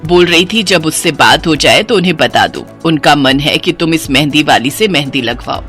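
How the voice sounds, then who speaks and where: A woman's voice: low-pitched, animated, moderate pace, fairly bright tone, soft background music, voice pushed down. A woman voicing a concerned, practical middle-aged mother of a bride in a cartoon story.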